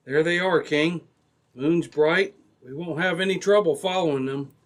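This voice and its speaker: A man playing a steady, brave Mountie sergeant in an old-time radio adventure, brisk, assured and commanding. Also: a little high, lightly acted. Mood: assured, kindly.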